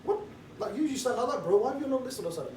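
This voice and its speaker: A middle-aged man, giving a relaxed, jovial briefing to a group and mixing in cheeky banter. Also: very high-pitched, rapid-fire. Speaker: street preaching trainer. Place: indoor room with a group. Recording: roomy echo, distant mic, faint background noise.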